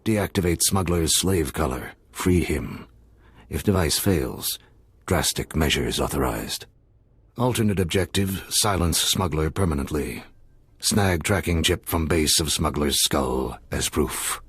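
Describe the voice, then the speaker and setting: A game character, a gruff alien fixer with an extremely low, very husky voice. He speaks in clipped, broken telegraphese, secretive and all business, recorded dry and close. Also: animated, unhurried, no room sound, heavily acted. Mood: terse, secretive. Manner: clipped, telegraphic broken phrasing with tag questions.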